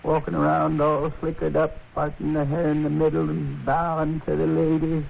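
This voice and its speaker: An American man playing a proud, bitter, crippled buffalo hunter in a gruff, rustic 1950s radio western style, with a gravelly voice. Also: fairly high pitch, monotone, normal speed. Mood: scornful. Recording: tinny, faintly noisy old radio recording.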